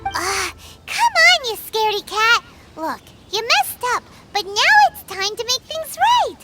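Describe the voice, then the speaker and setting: A woman's voice: very high-pitched, big pitch swings, unhurried, loud music behind the voice, heavily acted. A woman voicing a tiny, childlike fairy companion in a video game, blunt and cheeky. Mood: cheeky, impatient. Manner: blunt, teasing. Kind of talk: video game character acting.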